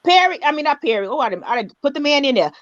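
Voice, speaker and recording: A high-voiced woman rattles off blunt gossip at a quick clip, repeating her points and leaning on slang. She comes through a video call whose audio is a little dull, with faint noise underneath. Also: very animated, no echo. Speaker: co-host on a call-in video chat. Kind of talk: casual gossip chat.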